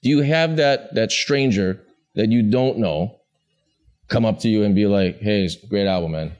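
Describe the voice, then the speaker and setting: A man's voice: lowish voice, normal speed, muffled recording. Chatty male podcast host. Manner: relaxed, chatty, agreeable with frequent affirmations. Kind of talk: casual interview.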